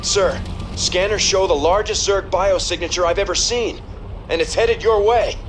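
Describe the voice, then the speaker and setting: Man as an urgent, high-pitched military crewman. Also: normal speed, slight background noise, close to an everyday voice. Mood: alarmed. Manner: urgent, tense delivery. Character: alarmed, urgent military crewman reporting threats. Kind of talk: video game voice acting.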